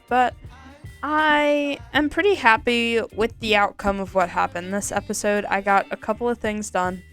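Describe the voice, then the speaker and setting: A woman hosting her gaming channel, talking casually over a time lapse with a slightly scratchy voice and a relaxed, rambling manner full of ums. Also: mid-range voice, clean tone.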